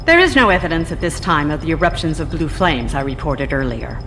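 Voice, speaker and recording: Female scientist: lowish voice, composed and skeptical, precise formal delivery for radio drama, long reverb. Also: expressive, fairly quick. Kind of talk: radio drama interview acting. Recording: long hall reverb, faint noise.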